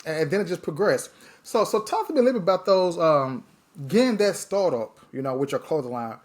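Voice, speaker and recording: A man from Mississippi, hosting a podcast interview in a lively, encouraging, conversational way. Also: a little high, fairly quick. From Mississippi, USA. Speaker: podcast host.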